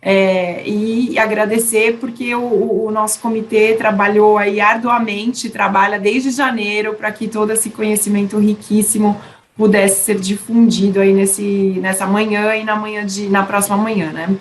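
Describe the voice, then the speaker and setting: A woman's voice: mid-range voice, little pitch movement, moderate pace, slight background noise. A woman giving chatty closing remarks as the organizer of an online seminar, with frequent fillers. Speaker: event organizer closing the session. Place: online webinar.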